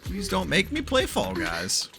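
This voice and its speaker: A man giving casual, animated gameplay commentary as a streamer, swearing now and then. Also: sits high, unhurried, vocal fry, over music. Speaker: gaming streamer reacting to a story quest. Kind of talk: casual gameplay reaction commentary, sometimes reading dialogue aloud.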